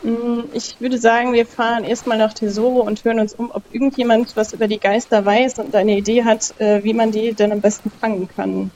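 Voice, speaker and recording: A woman taking part as a player in a casual role-play game, questioning and deliberate. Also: fairly high pitch, flat delivery, darkish tone, muffled recording, a little hiss or hum. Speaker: player, podcast listener guest.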